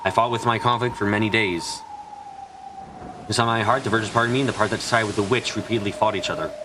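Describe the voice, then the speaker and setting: A man's voice: on the low side, steady tone, fast, background noise. A man reading a visual novel aloud as dramatic scripted narration on a stream.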